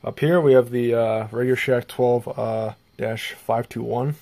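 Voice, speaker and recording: Guy rambling through a casual vlog on his phone, creaky voice, pretty even tone. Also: medium pitch, unhurried, a little hiss or hum. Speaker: hobbyist video presenter. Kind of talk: casual show-and-tell vlog. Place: small bedroom indoors. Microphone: handheld phone camera.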